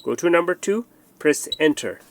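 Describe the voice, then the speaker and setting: Gravelly man explaining an installation step by step. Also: fairly high pitch, lively intonation, normal speed. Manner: explanatory, step-by-step, repeats himself with fillers. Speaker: tutorial presenter. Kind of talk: hands-on product installation tutorial.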